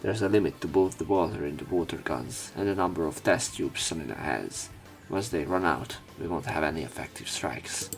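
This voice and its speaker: A man's voice: very deep voice, moderate pace, vocal fry, hardly any pauses, faint music behind. A man doing a dramatic read-aloud of a visual novel as a let's play narrator, with some commentary.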